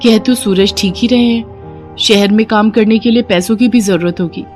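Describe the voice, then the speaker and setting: A woman's voice: mid-range voice, normal speed, darkish tone, soft background music, lightly acted. Woman putting on a whiny, demanding young wife. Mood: scheming. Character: scheming, complaining young city-bred daughter-in-law.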